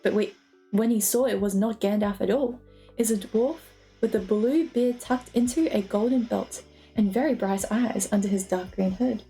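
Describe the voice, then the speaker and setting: A woman's voice: mid-range voice, normal speed, dark tone, poor audio. A woman streamer reading a storybook aloud as a dramatic narrator. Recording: music playing behind the voice.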